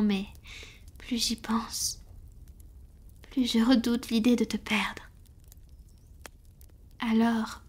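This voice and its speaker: A woman playing a tender, devoted female vampire in an intimate roleplay audio, speaking softly and affectionately, often in a breathy whisper. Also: fairly high pitch. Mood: loving, anxious. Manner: slow, gentle, affectionate, with pauses. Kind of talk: French roleplay audio, soft intimate ASMR acting.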